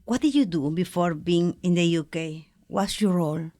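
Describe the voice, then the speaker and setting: Woman, podcast interviewer, gentle, non-native phrasing, lowish voice, dry close sound. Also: normal speed, no room sound.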